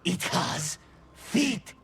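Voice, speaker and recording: An actor playing a curious possessing demon in a video game, speaking in halting, stretched-out fragments. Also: male voice, high voice, sing-song, fairly bright tone, heavily acted. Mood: eerie curiosity. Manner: halting, broken fragments with long stretched words.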